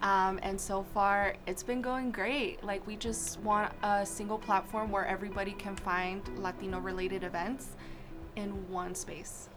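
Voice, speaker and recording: A young woman from Idaho, a radio talk show guest, telling stories in a casual, animated way. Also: medium pitch, measured pace, over music. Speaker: guest, social media co-founder.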